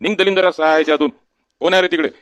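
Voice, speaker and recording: A man's voice: fairly high pitch, steady tone, quick pace, fairly bright tone, smooth, no echo, natural voice. A man playing a village doctor in a comic cartoon, coaxing and colloquial. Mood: exasperated, scolding. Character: male village doctor, patient but easily exasperated.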